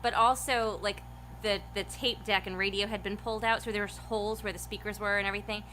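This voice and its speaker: A woman's voice: mid-range voice, steady tone, fast, bright, forceful tone, slight background noise, rough recording. A woman musician telling chatty stories about road trips to friends. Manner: chatty storyteller, often laughing. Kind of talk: casual chat.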